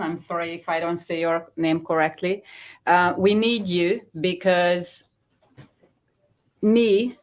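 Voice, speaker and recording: A Hungarian woman speaking English with a Hungarian accent, giving an earnest, halting personal testimony as a guest. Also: fairly low pitch. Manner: earnest, halting non-native phrasing. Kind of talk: personal testimony on a talk show.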